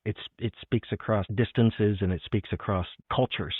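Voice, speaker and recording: Deep-voiced man on a phone-like line. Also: fairly even intonation, moderate pace, breathy edge, no room sound. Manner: enthusiastic, appreciative. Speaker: host of a poetry show. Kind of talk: conversational literary interview. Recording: muffled phone-like audio.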